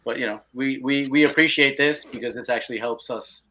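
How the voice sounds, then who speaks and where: A man's voice: mid-range voice, a little fast, background noise. A man chatting casually as a guest filmmaker in an interview. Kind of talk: casual chat.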